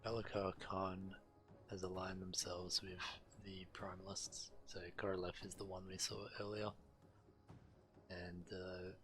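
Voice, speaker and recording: A man's voice: low voice, pushed and bright. A man reading quest text aloud and commenting as he streams a fantasy game.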